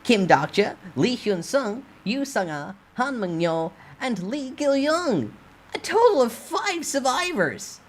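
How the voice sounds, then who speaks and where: A woman's voice: a little high, very animated, slow pace, exaggerated voice. A woman voicing a mocking, showy little goblin-like spirit in a dramatic storytime reading, teasing and exclaiming. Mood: announcing.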